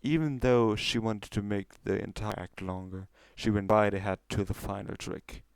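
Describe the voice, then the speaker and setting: A man's voice: fairly low pitch, steady tone, normal speed, gravelly, no room sound. A man reading a scripted story aloud as its narrator. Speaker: story reader.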